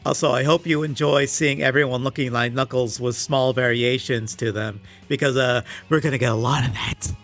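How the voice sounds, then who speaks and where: A man's voice: medium pitch, steady tone, moderate pace, few pauses, fairly bright tone. A man delivering scripted comedic commentary in a sarcastic, exasperated way with emphatic swings. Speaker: video essayist reviewing comics. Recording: music playing behind the voice. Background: background music.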